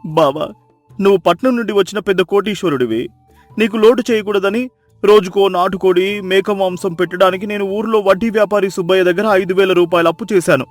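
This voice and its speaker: A crafty poor village husband from a moral tale, played by a man, talks theatrically. His voice is high for a man. It sounds dry, with soft music behind. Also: a little fast, no room sound, lightly acted. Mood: wailing, desperate.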